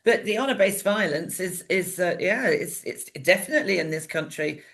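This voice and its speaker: A woman's voice: on the low side, expressive, moderate pace, a bit bright. A woman with a British accent giving her opinions in casual, chatty and emphatic talk.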